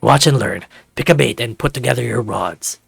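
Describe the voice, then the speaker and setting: A man voicing a gruff, affectionate, grumbling older dragon for a visual novel, with a gravelly, husky voice. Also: medium pitch, moderate pace, dry recording, faint music behind. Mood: confident.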